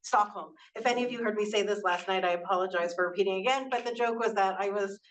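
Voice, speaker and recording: A woman introduces herself as a panelist at a conference. She speaks candidly, with a dry, self-mocking humor. Her voice sits in a middle pitch but sounds set back from the mic, with a faint hiss behind it. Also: fast talker, recorded from a distance. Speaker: panelist, nonprofit executive director.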